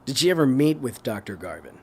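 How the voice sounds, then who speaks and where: A man's voice: mid-range voice, very animated, brisk, warm tone, husky. A man playing a polite, businesslike investigator asking questions in a naturalistic audio drama. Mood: polite, probing.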